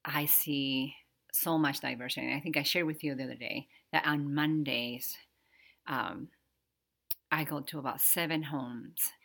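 A Dominican-accented woman in her thirties cohosts a relaxed podcast in English, her second language. Her voice sits low but has a bright, forceful edge. She talks in a halting way, stopping to search for words and laughing easily. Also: vocal fry. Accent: Dominican Spanish. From Dominican Republic. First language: Spanish. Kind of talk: casual podcast conversation.

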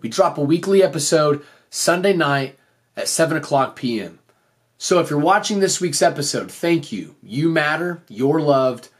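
A man speaks in a somewhat high-pitched voice that is slightly off, as if recovering from losing it. He delivers a motivational faith podcast monologue as its host, sounding earnest and encouraging.

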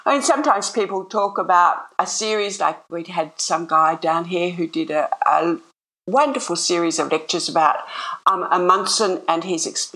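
A woman's voice: on the low side, a bit bright, poor audio. An older retired woman with an Australian accent, chatting in an upbeat, rambling way as an interview guest. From Sydney, Australia. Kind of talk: casual interview chat.

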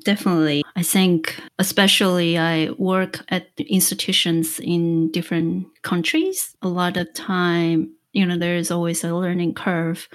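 Woman from taiwan talking slow and careful in a podcast interview, lowish voice. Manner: measured, thoughtful, slow explaining. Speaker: guest, media conservator.

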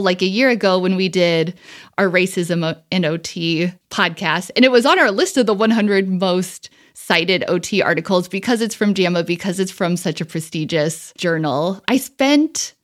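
A woman with a mid-pitched voice hosts an interview podcast. She talks informally and conversationally, often dropping "like" into her sentences. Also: normal speed. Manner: informal, frequent "like" as a filler. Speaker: podcast host.

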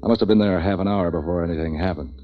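An actor playing a street-wise, wisecracking hired tough who narrates a 1940s radio detective drama in a clipped, deadpan way. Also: male voice, low voice, racing, noisy background, lightly acted. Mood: deadpan, world-weary. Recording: dull vintage 1940s radio recording.

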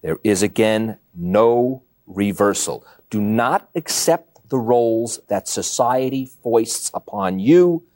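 A man's voice: on the low side, lively intonation, slow, few pauses, darkish tone. A man hosting an interview show, conversationally quoting points and adding his own comments.

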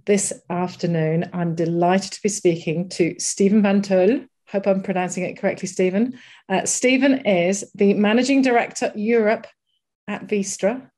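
A woman's voice: medium pitch, moderate pace, dark tone. A woman hosting an interview, asking questions in a friendly way with small fillers. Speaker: interview host. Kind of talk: conversational interview.

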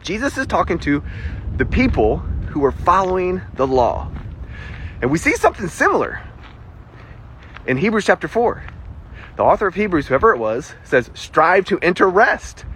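The Southern American man you'd hear on a homemade Bible podcast, swinging his pitch up and down to press each point, some noise behind him. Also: very animated, moderate pace, long pauses, a bit bright, rough recording. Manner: emphatic, rhetorical questions, acts out objections. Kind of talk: conversational Bible teaching.

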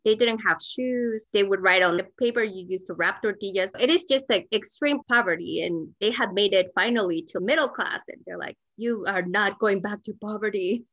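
A woman's voice: mid-range voice, normal speed, few pauses, fairly bright tone, no echo. A woman from Mexico, a native Spanish speaker, telling personal stories as an interview guest in an informal, candid way. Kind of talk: conversational personal storytelling.

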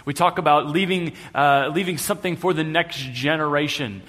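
A man's voice: a little high, normal speed, fairly bright tone. Conversational male preacher, echoing hall. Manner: conversational preaching, light humor. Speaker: pastor. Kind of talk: sermon. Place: church sanctuary. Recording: long hall reverb.

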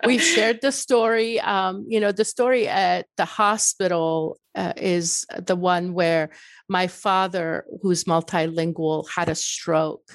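Earnest, reflective middle-aged woman with a gravelly voice. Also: fairly low pitch, steady tone, slow, no echo. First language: Farsi. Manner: earnest, reflective, with frequent restarts. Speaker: author and guest. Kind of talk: interview conversation.

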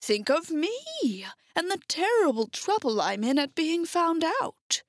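A woman's voice: extremely high pitch, big pitch swings, takes it slowly, no echo, clean studio sound, pitched above the performer's own voice, exaggerated voice. A woman voicing a timid little old man, a humbug wizard, in a storybook reading, meek and pleading. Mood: sheepish, confiding.

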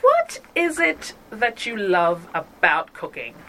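Bright-voiced woman hosting a chat. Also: medium pitch, huge pitch swings, slow, slight background noise. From Uganda. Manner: attentive, encouraging, frequent backchannel. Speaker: podcast host. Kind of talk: casual interview chat.

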